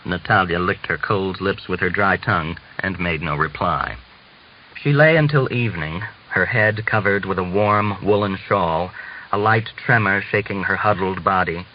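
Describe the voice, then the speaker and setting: A man reading a literary novel aloud as scripted narration, in a measured manner. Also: low-pitched. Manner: measured, acts out dialogue. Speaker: audiobook reader. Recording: muffled lo-fi audio with clear hiss.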